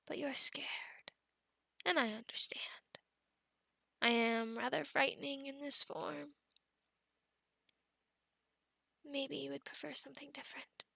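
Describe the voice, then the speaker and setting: A woman acts out a female werewolf doting possessively on her captive in an ASMR roleplay. The voice is high and slow, slipping often into whispers, with a crackly creak. The phrasing is formal and soothing, protective throughout. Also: sharp tone, dry recording, exaggerated voice. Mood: coaxing.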